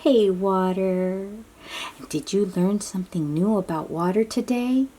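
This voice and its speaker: A woman with a soft, breathy voice reads a picture book aloud to young children, gently explaining and chatting to them as she goes. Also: medium pitch. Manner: slow, child-directed, encouraging questions and affirmations. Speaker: read-aloud storybook host.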